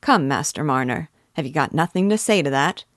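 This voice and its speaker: A woman's voice: deep voice, normal speed, dry recording, clean studio sound, close to an everyday voice. A woman voicing an old male English village busybody with a rural dialect, self-important and neighborly, in a storybook reading. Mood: coaxing, advising. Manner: rambling, opinionated, advice-giving.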